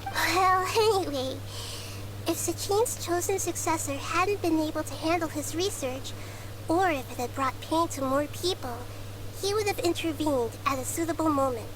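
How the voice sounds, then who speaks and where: A woman voicing a small, childlike goddess of wisdom, gentle and calm, speaking in measured philosophical game dialogue. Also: very high voice. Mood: composed. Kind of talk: scripted video game dialogue. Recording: noisy game stream capture.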